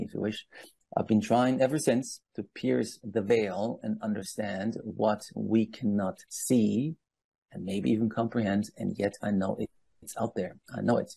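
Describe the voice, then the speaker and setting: A man in his fifties from Iran speaking as a guest, telling personal stories and explaining in a deliberate, emphatic manner with rhetorical asides. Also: lowish voice, vocal fry, dark tone, husky, dry recording, low-quality recording. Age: 50s. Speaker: guest speaker on palm leaf reading.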